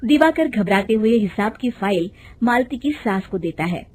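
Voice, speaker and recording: A woman's voice: mid-range voice, animated, normal speed, noisy background. A woman storyteller reading scripted narration for a cartoon family tale.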